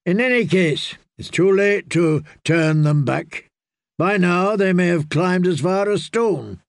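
A man voicing a smooth, wry, scheming male lord protector. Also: high voice, takes it slowly, no echo, studio quality, voice pushed up, full character voice.

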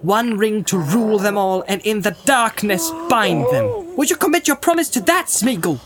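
Storybook hobbit master, played by a male narrator: raised pitch, stern, commanding, emphatic, with faint-to-clear music behind. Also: sing-song, a little fast. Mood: stern warning.

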